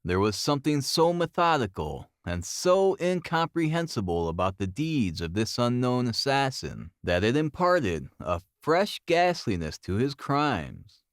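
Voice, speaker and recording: A man narrates a classic detective story as an audiobook. His pitch moves widely and expressively, and the recording is dry and close. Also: very animated, unhurried, few pauses, no echo. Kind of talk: scripted dramatic narration of a classic detective novel.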